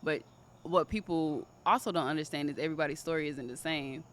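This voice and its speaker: A woman in her twenties in casual conversation, telling a personal story in an animated way. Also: sits low, a little fast. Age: 20s. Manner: animated storytelling, quotes others in raised voice. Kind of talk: casual chat.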